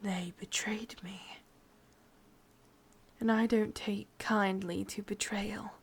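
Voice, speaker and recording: A sadistic, bitter witch, acted by a woman with an English accent, speaks in a hushed, breathy whisper. Her delivery is slow and very even in pitch, made for an intimate ASMR roleplay. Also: exaggerated voice. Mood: cold, menacing. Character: sadistic, brooding female witch, bitter and obsessive.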